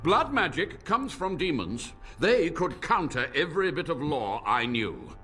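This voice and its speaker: A man's voice: on the high side, sing-song, bright, forceful tone, faint music behind, exaggerated voice. An actor playing an ancient, decaying male blood mage who speaks in a grandiose, theatrical way. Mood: fervent. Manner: grandiose, theatrical, slow, with a mocking laugh. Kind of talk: game character dialogue.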